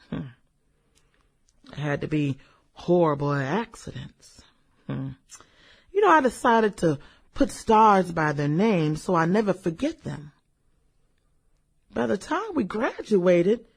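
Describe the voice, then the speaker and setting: Lady doing a bitter brooding woman in a radio drama, slow n husky, hums between thoughts, voice swings around. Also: mid-range voice, exaggerated voice. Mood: wistful, somber. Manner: musing, hesitant, chuckles and hums between thoughts. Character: brooding, vengeful woman reminiscing over dead high school classmates. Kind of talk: radio drama acting, conversational monologue.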